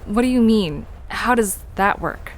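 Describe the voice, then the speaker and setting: A woman's voice: medium pitch, measured pace, natural voice. A woman playing a curious, eager, chatty young visitor in a conversational audio drama. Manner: inquisitive, reactive. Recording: background noise with outdoor ambience.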